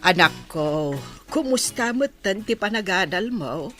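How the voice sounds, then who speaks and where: A woman playing a loving, earnest mother giving gentle advice in an Ilocano radio drama. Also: mid-range voice, lively intonation, measured pace, over music, close to an everyday voice. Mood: tender, earnest.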